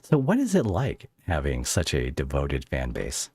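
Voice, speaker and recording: A man hosting a casual live interview, speaking in a halting, rambling way as he searches for phrasing. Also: rumbling low, huge pitch swings, darkish tone, husky, dry recording. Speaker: podcast host interviewing a guest.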